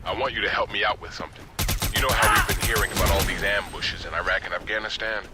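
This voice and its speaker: A man's voice: sits low, steady tone, fast talker, very noisy, natural voice. A man playing an earnest, principled private military contractor, talking casually in an action video game. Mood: worried, confiding. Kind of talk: scripted action game dialogue, casual soldier talk. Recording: very loud music over the voice.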